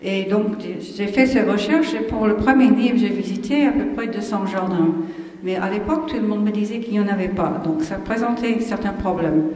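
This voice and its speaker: An older woman speaking with an English Canadian accent gives a public lecture at a middle pitch. She is chatty and self-deprecating and wanders off on side paths. She is picked up from a distance in a very echoey hall with a long reverb. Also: moderate pace, dark tone.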